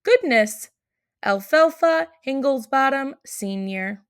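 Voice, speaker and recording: A woman reading a children's storybook as a harried, apologetic head elf, brisk and fussy. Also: high voice, expressive, no echo, clean studio sound, pitched above the performer's own voice. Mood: flustered, brisk. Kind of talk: children's storybook read-aloud.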